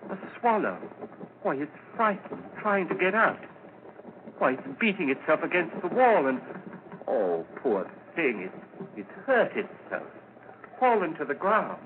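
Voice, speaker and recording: A man's voice: on the high side, very animated, unhurried, phone-quality sound. Through a thin, faintly hissy old broadcast comes an earnest, idealistic man. His mid-range male voice rises and falls widely, anxious and melodramatic in the 1940s radio manner. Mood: tender concern. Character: gentle, idealistic, anxious man who loves the world.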